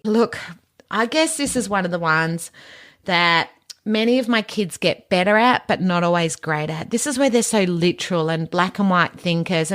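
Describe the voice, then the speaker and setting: Female education podcast host, mid-pitched voice. Informal, emphatic explainer who poses rhetorical questions as she teaches.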